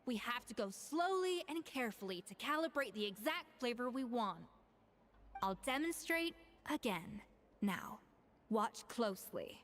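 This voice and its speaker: Exacting female head chef, poised and instructive, fairly high voice, game role. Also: sing-song, a little slow, fairly bright tone, slight background noise. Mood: strict. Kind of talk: video game voice acting. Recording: game music behind the voice.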